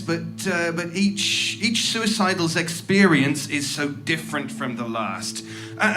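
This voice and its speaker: Male actor as smug, tactless agent, fairly high voice, glib with stammers, horror audio drama. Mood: intrigued. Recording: background music under the voice.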